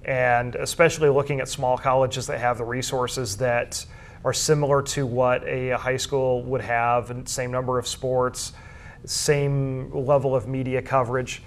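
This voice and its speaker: Measured, explanatory man with mid-range voice. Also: mid-range voice, little pitch movement. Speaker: interview guest, school sports information director and announcer. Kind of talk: conversational interview answers.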